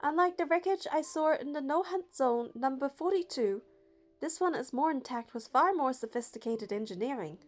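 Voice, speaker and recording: A woman narrating a game playthrough, reading the story text aloud in a steady, even way. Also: high voice, moderate pace.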